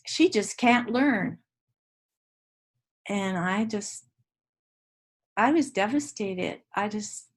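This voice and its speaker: Older woman, Dutch native speaker, earnest and reflective, mid-pitched voice, halting restarts. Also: fairly bright tone. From Ontario, Canada. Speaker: guest educator. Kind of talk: interview.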